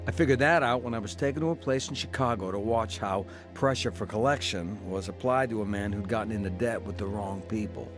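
A man's voice: lowish voice, brisk, hardly any pauses, over music, lightly acted. An actor playing a troubled man, earnest and reflective, in a scripted first-person radio drama. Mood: reflective, grave. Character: earnest, troubled man recounting his own past.

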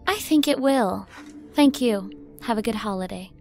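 A woman playing a brisk, guarded corporate spokeswoman, clipped and direct in naturalistic audio drama style. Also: fairly high pitch, expressive, a little mellow, faint music behind. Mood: businesslike, guarded.

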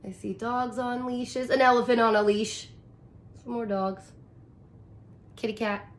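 A woman's voice: fairly high pitch, fairly even intonation, a little slow. A woman in her thirties, a teacher reading a picture book aloud and explaining it expressively in simple phrases for young children. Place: small furnished room indoors.

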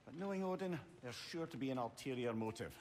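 A man's voice: medium pitch, expressive, fast, a little mellow, close to an everyday voice. An actor playing a wry, skeptical male companion in a video game. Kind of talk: game voice acting. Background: game music.

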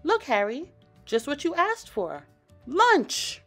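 A woman's voice: high-pitched, sing-song, slow, soft background music, pitched above the performer's own voice, full character voice. A woman playing a friendly, helpful young male sea turtle in a storybook, speaking eagerly and expressively.

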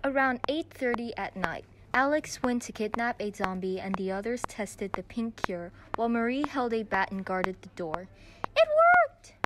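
A woman's voice: mid-range voice, moderate pace, lightly acted. A teenage girl narrating an amateur audio drama in a scripted storytelling style. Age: young teenager. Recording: noisy amateur student recording.

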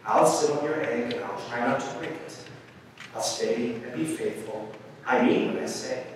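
A man voicing a huge, gentle, faithful male elephant with an earnest, deliberate storybook delivery. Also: mid-range voice, close to an everyday voice. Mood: resigned, earnest. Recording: very echoey hall, distant, faint noise.

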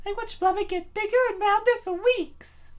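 Woman voicing a very high-pitched motherly princess. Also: fairly even intonation, moderate pace. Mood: soft, fond. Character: gentle motherly princess narrating her story, woman. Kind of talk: scripted comic dub narration.

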